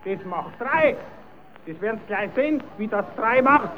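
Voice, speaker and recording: An actor playing a naive, cheeky, quarrelsome puppet-show rascal with a southern German accent in an old radio play. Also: male voice, high-pitched, expressive, unhurried, slight background noise. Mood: stubborn, combative. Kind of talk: old radio play, comic dialect acting. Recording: tinny, narrow-band old radio recording.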